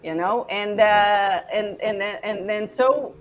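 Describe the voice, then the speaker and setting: A woman in her forties from Brazil, speaking English with a Brazilian Portuguese accent as a podcast guest, halting and searching for words with many restarts. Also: medium pitch, highs cut off, soft background music. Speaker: podcast guest, former classmate. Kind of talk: casual conversation.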